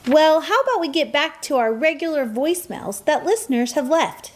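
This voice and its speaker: Supportive podcast mom for radio drama played by a woman, high-pitched and lively. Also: normal speed. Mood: reassuring. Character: supportive mom producing her daughters' podcast. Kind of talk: scripted radio play acting.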